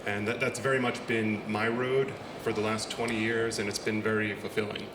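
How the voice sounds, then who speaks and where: A middle-aged American man with an American accent, speaking as a conference panelist in an earnest, steady way. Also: fairly low pitch, normal speed. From United States. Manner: steady, earnest, a few restarts. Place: large conference hall. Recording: echoey hall with background noise.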